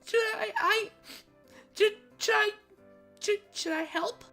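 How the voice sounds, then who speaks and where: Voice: very high voice, takes it slowly, sharp tone, soft background music, pitched above the performer's own voice, heavily acted. A performer voicing a timid, childlike, naive female siren with a small, pleading, stammering voice for a story reading. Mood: timid, hopeful. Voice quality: small, thin voice.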